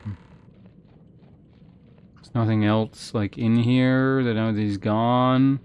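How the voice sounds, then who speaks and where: A man's voice: mid-range voice, steady tone, takes it slowly, a little mellow, clean tone. A man giving casual, rambling gameplay commentary as a streamer, thinking aloud as he plays. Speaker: game streamer.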